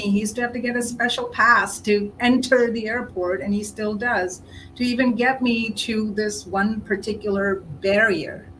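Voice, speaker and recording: A woman's voice: medium pitch, a little hiss or hum, rough recording. A woman who grew up in India, speaking earnestly and reflectively as a participant in a panel discussion.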